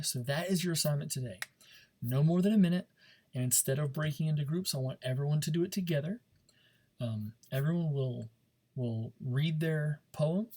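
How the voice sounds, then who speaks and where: A man's voice: medium pitch, dark tone, breathy edge. A man teaching a speech class in an informal recorded lesson, talking directly to his students and stressing and repeating key points. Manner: emphatic, demonstrative, stresses and repeats key words.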